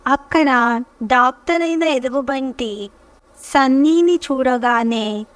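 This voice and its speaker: A woman narrating a scripted children's story as storyteller, with measured, careful phrasing. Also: high voice, dry recording, far from the mic.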